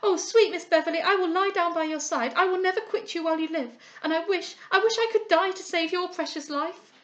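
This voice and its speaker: A woman voicing a frantic young woman who wails high and tearful in grief. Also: a little fast, fairly bright tone. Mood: sobbing.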